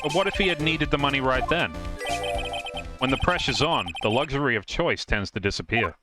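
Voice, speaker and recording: Earnest defense lawyer played by a man, medium register, dry wit, deliberate reasoning, video game dub over prominent music. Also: medium pitch, expressive, lightly acted. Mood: pressing. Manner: deliberate, wry, reasoning aloud. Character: earnest, wry male defense lawyer, persistent. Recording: loud music behind the voice.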